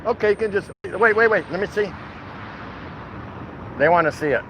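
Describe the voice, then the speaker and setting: A man's voice: high voice, moderate pace, a bit bright, slight background noise, low-quality recording. An American man chatting casually and jokily to his viewers as a folksy vlog host, recorded outdoors. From Milwaukee, United States. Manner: folksy, colloquial, jokey. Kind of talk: casual livestream chat to viewers. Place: outdoors at a city food truck.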